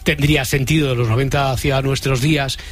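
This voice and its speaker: A man hosting a radio phone-in riddle game, relaxed and teasing, thinking aloud as he talks. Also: medium pitch, brisk. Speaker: radio host running a riddle game with callers.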